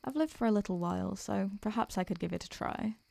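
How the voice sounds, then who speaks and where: An ancient female succubus, acted by a woman, tender and protective in an intimate roleplay. Her soft voice is warm and dark with a creaky edge, recorded very dry. She speaks gently and without hurry, wistful and affectionate. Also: medium pitch, dry recording. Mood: teasing, fond. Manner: gentle, affectionate, unhurried, murmuring endearments. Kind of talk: intimate soothing roleplay audio, ASMR-like.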